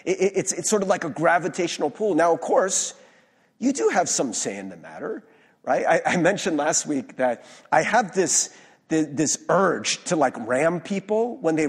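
A male pastor giving a conversational sermon in a church, hoarse-voiced, informal and self-deprecating, with many fillers and restarts. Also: fairly high pitch, sing-song, normal speed. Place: church sanctuary. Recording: long reverb of a large hall.

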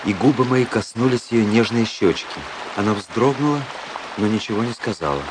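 An actor playing a cynical, proud young officer who narrates his own story in a measured, literary radio play style. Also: fairly low pitch, noisy background, lightly acted. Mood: brooding, self-satisfied.

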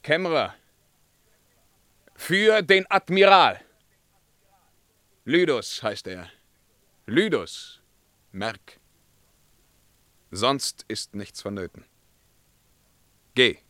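An imperious, brooding emperor in a verse radio play. The voice is forceful and ringing, slow and weighty, recorded dry and close. He declaims grandly, then sinks into tormented, hushed reflection. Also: medium pitch, expressive, dry recording. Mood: grand, commanding. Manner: declamatory, swinging between command and hushed brooding.

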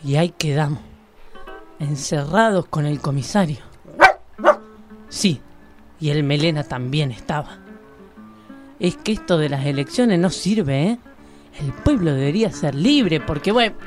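A woman's voice: sits low, expressive, a little slow, pauses often, over loud music. An actress playing a feisty, outspoken small-town woman who narrates a radio drama in colloquial, emphatic Argentine Spanish. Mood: confiding, indignant.

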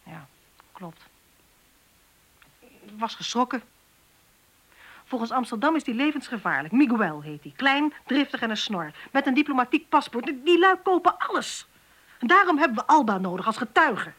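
Casting: sardonic female detective for radio play, high bright voice, impatient, very lively delivery. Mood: businesslike. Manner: brisk, sarcastic, impatient. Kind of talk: Dutch radio drama acting.

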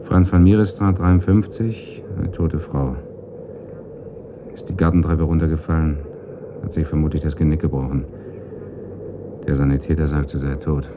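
An actor playing a younger, matter-of-fact, grumbling police brigadier in a German radio crime drama. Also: male voice, rumbling low, fairly even intonation, normal speed, long pauses, background noise. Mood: brisk, businesslike.

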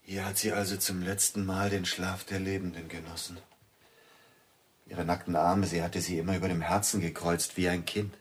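An actor playing a grieving pianist and widower in a radio drama, speaking slowly and probingly, often sinking to a near whisper. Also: male voice, sits low, level tone, husky.

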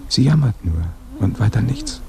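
A man's voice: huge pitch swings, normal speed, a little mellow. An actor playing a younger dead man who uneasily questions his neighbour in the grave, in a radio play. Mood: uneasy. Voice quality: deep, gravelly. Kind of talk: German radio play dialogue.

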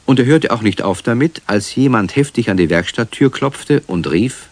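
A man narrating a children's radio play as a clear, measured storyteller. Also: mid-range voice, animated, no echo, lightly acted.

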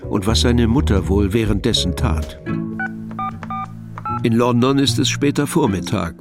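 A man reading scripted radio drama narration in a measured, matter-of-fact way. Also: on the low side, over music.

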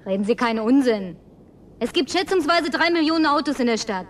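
A woman's voice: high voice, expressive, fairly quick, noisy background. An actress in a radio play voicing a brusque, sarcastic, bossy woman who survived in a deserted city. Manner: snappish, mocking, bossy.

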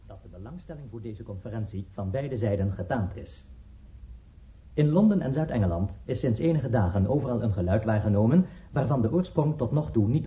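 A man's voice: mid-range voice, lightly acted. An actor playing a formal male news reader delivering an official bulletin in a radio drama. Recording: thin, phone-like old radio sound.

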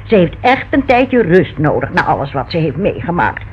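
An insistent, protective landlady with lively, swooping intonation. She fusses stubbornly in radio play style, on a dull old recording with faint noise. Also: on the low side, moderate pace. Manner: fussing, insistent. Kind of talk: Dutch radio play acting.

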